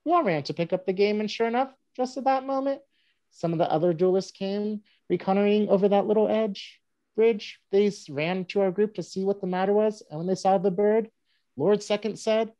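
A woman's voice: medium pitch, brisk, mellow, dull audio, natural voice. A woman playing a wry, self-mocking newspaperman who tells tall tales with dry humour in a staged play reading. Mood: wry storytelling. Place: home room on a group video call.

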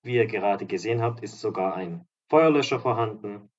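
A slightly hoarse man giving an upbeat, promotional walkthrough of apartments as a real estate agent and vlogger. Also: fairly low pitch, fairly quick, highs cut off. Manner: upbeat, promotional, walks through rooms while talking. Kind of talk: property tour narration. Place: apartment complex in a seaside town, indoors and outdoors.

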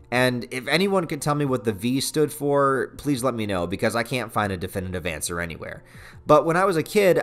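A man in his thirties with a North American accent, narrating a scripted but conversational review with casual, sarcastic asides. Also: mid-range voice. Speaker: video essayist, channel host.

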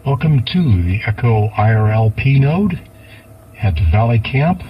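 A man's voice: medium pitch, lively intonation, measured pace. A man reading a scripted station announcement, played over a radio link. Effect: radio link played through car speaker. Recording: dull lo-fi radio playback, faint noise.

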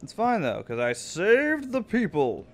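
A man's voice: sits high, wildly swooping pitch, unhurried. A man giving casual, jokey and sometimes sweary commentary while playing a video game with a friend.

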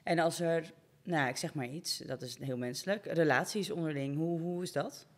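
A woman's voice: low voice, moderate pace. A woman hosting a talk show, asking questions in a casual, conversational way. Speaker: interviewer, talk show host. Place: large indoor hair salon. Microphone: studio microphone on stand, close in front.

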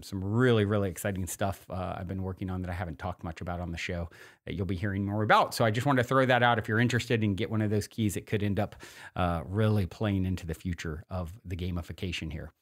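Guy hosting a podcast, kinda low voice, casual rambly chat, pretty enthusiastic. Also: a bit bright. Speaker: podcast host.

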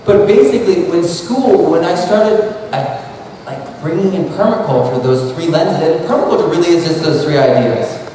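A man in his thirties talks loosely and rambles, restarting sentences and dropping "like" everywhere, his voice sitting a little high. He is caught from far off in a big hall, with a long reverb trailing each phrase and faint background noise. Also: darkish tone. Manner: rambling, casual, full of "like" and restarts. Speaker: speaker. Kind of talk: conference talk. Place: large hall at an expo. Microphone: handheld mic. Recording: echoey hall recorded from far off.

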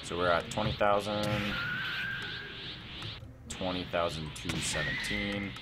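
A man's voice: deep voice, steady tone, measured pace. A young man giving casual gameplay commentary, rambling and thinking aloud as he hosts a gaming video. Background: music.

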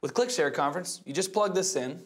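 A man's voice: a little high, expressive, fairly quick, noisy background. A man playing a helpful, confident coworker demonstrating a gadget in a comedic ad. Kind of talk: comedic ad acting, product demonstration. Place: conference room.

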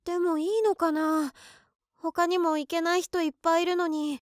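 Very high-pitched, flustered young fangirl. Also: little pitch movement, moderate pace, dry recording, studio quality. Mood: hesitant, pleading. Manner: girlish, flustered, earnest. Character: shy, anxious, devoted 23-year-old female office worker and fan. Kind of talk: Japanese voice drama monologue.

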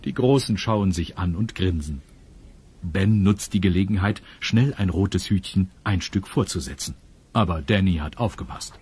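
A man's voice: sits low, normal speed, darkish tone, husky. A man narrating a children's audio drama from a script.

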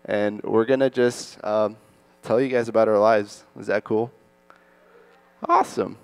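A young man hosts a student panel from a school auditorium stage into a handheld mic. His voice sits low and smooth, with a flat, even delivery, and the sound stays dry and close. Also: unhurried, no room sound. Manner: casual, upbeat, with filler words. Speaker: panel moderator. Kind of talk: moderating a school chapel panel.

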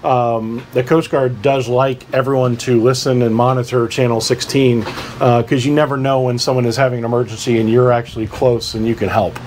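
A man's voice: mid-range voice, level tone, brisk, a little mellow. A man giving an informal instructional explanation as a presenter, plain-spoken and working through things step by step. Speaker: instructor presenting a boat radio guide. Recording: clear background ambient noise.

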